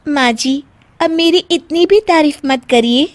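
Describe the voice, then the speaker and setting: A woman's voice: sits high, a little slow, dry recording, pitched above the performer's own voice. A woman voicing a sweet, dutiful young daughter-in-law in a cartoon story, with a deferential manner. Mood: sweet, modest. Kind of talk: animated moral story acting.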